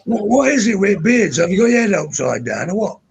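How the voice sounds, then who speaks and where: Gravelly man, playful. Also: high voice, sing-song, a little fast, a little hiss or hum. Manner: playful, mock-threatening jokes. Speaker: panel guest. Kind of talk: casual live stream banter.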